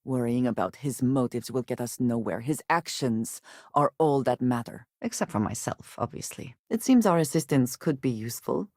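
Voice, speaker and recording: An actress playing a guarded, formal woman spacefarer in a video game, loyal but wary and dry, with measured phrasing and few contractions. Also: a bass voice, lively intonation, darkish tone, no echo, exaggerated voice. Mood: cool, reserved. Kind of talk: video game voice acting, scripted dialogue.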